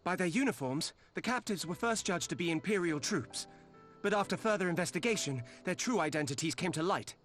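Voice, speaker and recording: An actor playing an earnest soldier officer in a video game, reporting in a formal, matter-of-fact manner. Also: male voice, high voice, lively intonation, a little fast, fairly bright tone, soft background music. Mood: sober, indignant. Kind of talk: video game cutscene acting.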